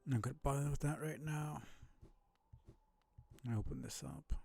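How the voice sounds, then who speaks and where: A man streaming a video game, giving casual, low-key commentary as he thinks aloud in short fragments. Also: normal speed, vocal fry, warm tone, slightly rough. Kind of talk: casual muttered gameplay commentary.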